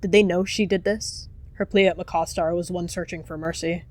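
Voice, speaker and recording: A woman's voice: fairly low pitch, steady tone, fairly quick. A woman narrating a dramatic roleplay story as a storyteller.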